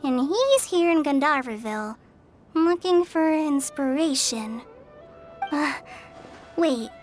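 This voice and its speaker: A woman voicing a kind, eager young girl forest ranger in an animated video game, with a gentle, earnest manner. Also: high voice, slow. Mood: friendly, earnest. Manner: gentle, earnest, bubbly when excited. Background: prominent music.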